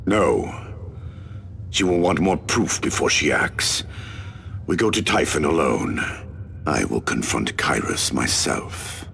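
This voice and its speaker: A betrayed veteran warrior leader speaks with hard resolve in a game cutscene. His voice is deep and gravelly, slow and lively in pitch. Music plays clearly behind him. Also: slight background noise, exaggerated voice. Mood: resolute. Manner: bitter, resolute, commanding.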